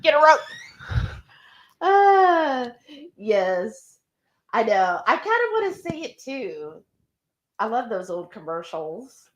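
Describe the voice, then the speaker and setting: A woman's voice: fairly high pitch, very animated, low-quality recording. A woman hosting a live cooking stream, chatting casually and reading viewer comments aloud in an encouraging tone. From Texas. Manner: chatty and encouraging, with drawn-out asides.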